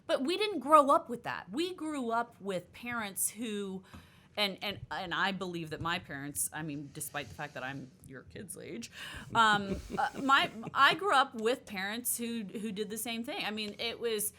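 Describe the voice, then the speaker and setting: A woman's voice: mid-range voice, normal speed. A woman in her thirties, a nutritionist guest in a casual chat, speaking insistently and animatedly. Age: 30s. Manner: animated, insistent, talks over others.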